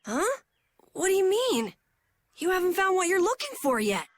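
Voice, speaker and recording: A woman voicing an excitable, earnest young boy adventurer in video game dialogue, easily startled and anxious. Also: extremely high pitch, moderate pace. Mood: startled, worried.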